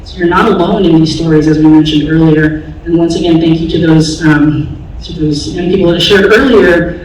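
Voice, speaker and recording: A woman's voice: low-pitched, fairly even intonation, normal speed, darkish tone. A woman in her forties from the Bay Area, a special education teacher telling her own story candidly to an audience, with many fillers. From San Francisco Bay Area, US. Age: 40s. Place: large auditorium stage. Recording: echoey hall from far off, faint noise.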